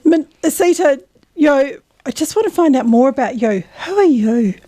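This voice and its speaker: Bright high-pitched woman, cheerful community TV host, praises her guest. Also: lively intonation, moderate pace. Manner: upbeat, encouraging questions. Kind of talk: community TV interview.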